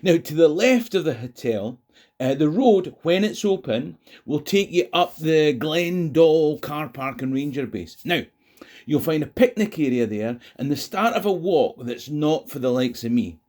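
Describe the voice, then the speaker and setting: A man's voice: on the high side, expressive, unhurried, darkish tone. A Scottish man presenting as a history tour guide, speaking to camera in a conversational, wry way. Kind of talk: history travel storytelling to camera. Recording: noisy outdoor location, traffic noise.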